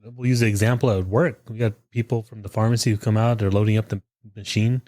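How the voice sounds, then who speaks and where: A man's voice: lowish voice, steady tone, fast, mellow, no room sound, studio quality. A man guest co-hosting a podcast, answering briefly and matter-of-factly. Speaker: guest co-host. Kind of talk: casual chat.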